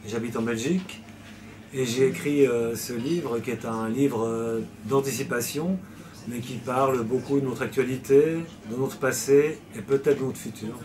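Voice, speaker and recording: A man's voice: mid-range voice, steady tone, moderate pace, noisy background. A man from Switzerland, a novelist answering interview questions in a hesitant way, with many fillers and restarts.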